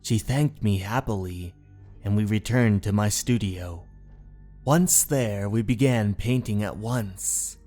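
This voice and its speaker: A man's voice: on the low side, dark tone, soft background music. A man playing an obsessed, unhinged painter in a horror story, narrating in a theatrical, brooding manner. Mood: infatuated. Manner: slow, theatrical, brooding, with anguished outbursts. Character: obsessed painter, unhinged, infatuated, confessing a murder. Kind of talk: dramatic first-person horror story narration.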